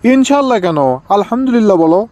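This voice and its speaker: A man's voice: very high voice, lively intonation, quick pace, a little mellow, dry recording. A man voicing a pious, fatherly village Quran teacher who corrects his pupil, in comic cartoon dialogue with a Bogura accent from northern Bangladesh. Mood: instructive. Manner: instructive, correcting.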